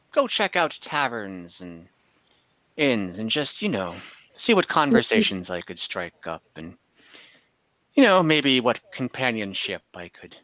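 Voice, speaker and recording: A man's voice: mid-range voice, pushed and bright, dry recording, heavily acted. A man playing a rueful older elf, a retired adventurer, who speaks in a hesitant, earnest way in a tabletop game. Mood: wistful, awkward. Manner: hesitant, halting, earnest storytelling. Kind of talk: in-character tabletop roleplay. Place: indoors on a group video call. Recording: dull, lo-fi online call audio.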